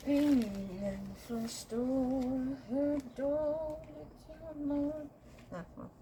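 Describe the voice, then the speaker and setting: A woman's voice: sits high, fairly even intonation, drawn-out words, mellow, slight background noise. A young woman from Sweden, chatting casually and playfully to her viewers while gardening outdoors, talking to herself and humming as she works. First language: Swedish. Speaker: gardening vlogger.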